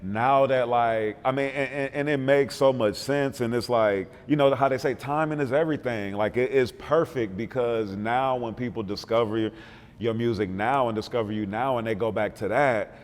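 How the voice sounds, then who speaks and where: A man's voice: mid-range voice, normal speed. A man hosts an interview in a laid-back, agreeable way, his questions looping through plenty of fillers. His mid-range voice carries a long echo, like a large hall. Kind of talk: casual interview talk.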